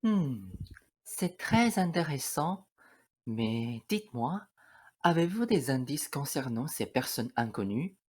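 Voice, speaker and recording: A domineering, vain mother from a fairy-tale radio play, acted by a woman. Her voice is low and husky, and her delivery is theatrical and calculating. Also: big pitch swings, slow, darkish tone, exaggerated voice. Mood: fawning, sly. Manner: scolding and cursing at her daughters, obsequious to superiors. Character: domineering, vain, scheming mother, fawning before royalty.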